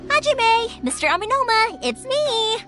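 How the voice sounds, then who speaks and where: A woman's voice: very high voice, very animated, unhurried, exaggerated voice. A woman voicing a bubbly, chatty young fireworks maker in a video game, friendly and exclamatory, with music behind her. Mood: eager, flustered. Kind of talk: scripted video game dialogue. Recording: music under the voice.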